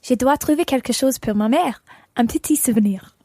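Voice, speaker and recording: A woman playing a friendly tourist on holiday in a scripted lesson radio play, with measured, clear dialogue delivery. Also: high voice, dark tone, dry recording, clean studio sound. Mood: friendly, matter-of-fact.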